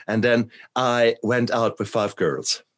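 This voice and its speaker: A man from Germany hosting a podcast interview, enthusiastic and quick to laugh. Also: mid-range voice, steady tone. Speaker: podcast host. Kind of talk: conversational interview.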